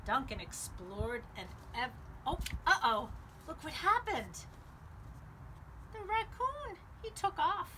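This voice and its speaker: A woman's voice: high-pitched, takes it slowly. A middle-aged American woman reading aloud to children at a library storytime, exclaiming and adding chatty asides, outdoors on a patio. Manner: animated, exclaiming, chatty asides to young listeners. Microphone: camera mic a short distance away. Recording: distant outdoor mic, clear background noise.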